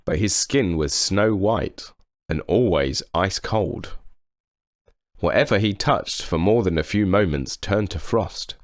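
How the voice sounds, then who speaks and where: A man reading a children's story aloud with expressive narration. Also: low-pitched, takes it slowly, dry recording, clean studio sound. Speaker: storybook reader.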